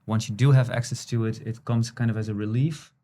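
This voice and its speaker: Guy hosting a podcast interview, average pitch, thoughtful, kinda halting as he rephrases stuff. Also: steady tone, mellow. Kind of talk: conversational interview.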